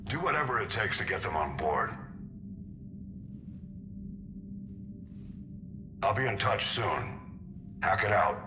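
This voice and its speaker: An actor playing a grave, authoritative older navy admiral in a video game, giving clipped military orders. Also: male voice, on the low side, very animated, normal speed. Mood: grave, resolute. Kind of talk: video game cinematic acting. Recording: echoing comm transmission, music behind.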